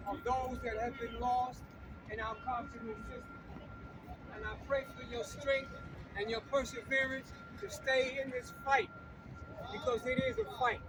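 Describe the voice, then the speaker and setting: An older man speaking at an outdoor protest rally, emphatic and repeating his lines for the crowd to echo. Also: very high voice. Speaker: rally speaker and campaign co-director. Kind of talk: impassioned protest rally speech. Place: outdoors on a city street. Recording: distant mic with loud street traffic.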